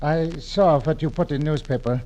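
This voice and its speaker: An actor playing an earnest middle-aged immigrant janitor who speaks broken English, in 1940s radio acting style. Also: male voice, a little high, normal speed, warm tone, a little hiss or hum, lightly acted, low-quality recording. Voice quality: broken English, humble and eager.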